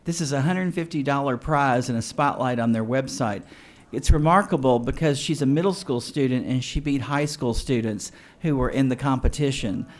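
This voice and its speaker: Halting man announcing student awards. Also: medium pitch. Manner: halting, with frequent uh and restarts. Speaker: school district official presenting awards. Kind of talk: meeting announcements.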